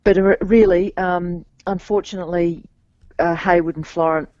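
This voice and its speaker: A woman co-hosting a sports podcast, talking casually and a bit hoarse from cheering, with many restarts and fillers. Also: lowish voice, steady tone, moderate pace, warm tone, no room sound. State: slightly scratchy voice from cheering. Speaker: podcast co-host. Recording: dull, dry lo-fi podcast mic.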